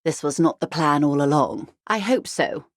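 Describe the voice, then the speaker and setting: A woman playing a wry, urbane, bourgeois negotiator in a video game, speaking in a measured, genteel, faintly ironic way. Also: low-pitched, dry recording, studio quality, lightly acted. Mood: wry, amused. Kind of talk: video game character dialogue.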